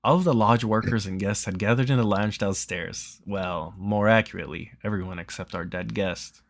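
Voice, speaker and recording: A man's voice: on the low side, moderate pace, creaky, dry recording. A man reading visual novel narration aloud during a let's play.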